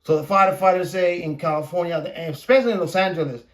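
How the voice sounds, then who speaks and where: A man who is a native Spanish speaker hosting a podcast, delivering an opinionated casual monologue in a blunt, profane, repetitive and halting manner. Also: high voice. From Puerto Rico. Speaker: podcast host.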